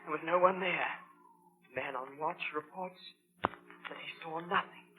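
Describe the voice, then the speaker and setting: An actor playing a dutiful, earnest ship's first officer, declaiming in 1930s radio drama style. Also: male voice, a little high, a little slow, background noise, close to an everyday voice. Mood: shaken, urgent. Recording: tinny 1930s radio recording.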